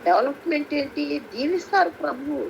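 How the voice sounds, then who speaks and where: Female prayer-meeting preacher, Andhra Telugu accent, gravelly edge, halting with interjections, on a faintly noisy conference call. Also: high voice, animated, lo-fi sound. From Andhra Pradesh, India.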